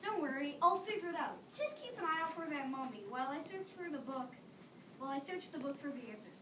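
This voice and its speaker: A woman's voice: high-pitched, expressive, fast talker. A young woman playing a resourceful, confident schoolboy in readers theater, with a plain, earnest delivery. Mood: urgent. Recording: distant echoey room, clear background noise.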